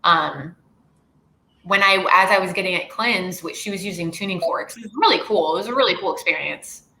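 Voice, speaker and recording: A woman's voice: fairly low pitch, brisk, bright, forceful tone, slight background noise. A woman guest in a casual panel chat, bubbly and laughing between phrases. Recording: echoey room with faint ambience.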